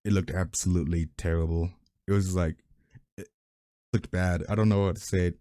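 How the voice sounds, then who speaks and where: Casual male animator, very low mellow voice, dry close mic, blunt, swears freely. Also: steady tone, normal speed, no room sound. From family from Mexico. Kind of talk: casual chat.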